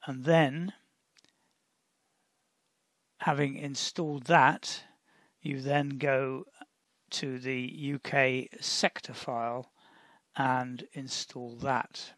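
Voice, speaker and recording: A man narrating a step-by-step software tutorial in a deliberate, instructional way, often pausing mid-sentence. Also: mid-range voice, no echo. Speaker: tutorial presenter.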